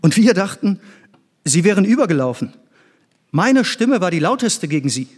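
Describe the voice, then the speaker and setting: An actor playing an earnest middle-aged scientist and former pupil in a stage drama, intense and theatrical. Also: male voice, sits high, sing-song, moderate pace, breathy edge, full character voice. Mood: fervent, admiring.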